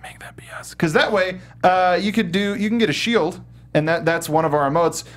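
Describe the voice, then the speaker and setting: A man's voice: sits high, a little fast, fairly bright tone. A man hosting a casual gaming show from a studio, talking in a rambling, hesitant, enthusiastic way. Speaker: show host.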